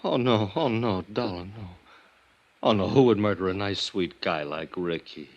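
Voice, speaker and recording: An actor playing an excitable, slow-witted but loyal small-time agent in a vintage radio drama, an American man who repeats himself emotionally and mangles fancy words. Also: fairly low pitch, muffled recording, natural voice. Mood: distraught, grieving.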